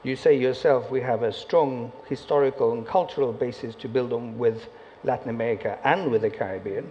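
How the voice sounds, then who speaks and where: A man on a conference panel giving a deliberate, matter-of-fact answer. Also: medium pitch. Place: conference hall. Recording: muffled audio in a reverberant hall, faint noise.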